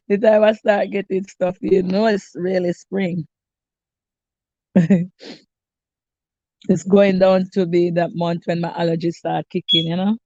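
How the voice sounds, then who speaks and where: A woman's voice: on the low side, level tone, slow, pauses often, mellow, dry recording, muffled recording. A middle-aged Jamaican woman hosting a cooking live stream, chatting casually with viewers by name. She sounds stuffy and congested and often restarts her sentences. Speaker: cooking channel host.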